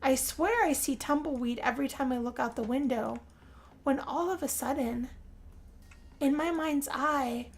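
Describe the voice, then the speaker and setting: A woman telling a first-person role-play story as game narration, theatrical, with drawn-out phrases and pauses. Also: high-pitched. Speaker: gameplay narrator.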